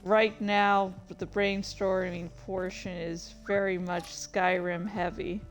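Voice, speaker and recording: A woman streamer chatting casually about her own writing in a halting, rambling, self-deprecating way. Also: medium pitch, level tone, faint music behind. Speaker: streamer and fan fiction author. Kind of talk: casual livestream chat.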